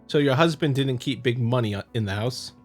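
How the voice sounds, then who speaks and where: A man's voice: mid-range voice, brisk, faint music behind, lightly acted. A man voicing a brusque, skeptical investigator in a visual novel, probing and dryly sardonic. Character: brusque, skeptical male investigator questioning a witness. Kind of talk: visual novel dialogue read aloud.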